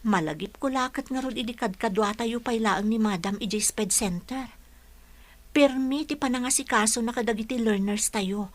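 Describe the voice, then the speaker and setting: A woman plays an earnest, caring Ilocano teacher in a radio drama. Her voice sits somewhat high, and she talks conversationally, as a concerned colleague would. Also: normal speed, lightly acted. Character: caring, earnest female special-education teacher, a loyal colleague.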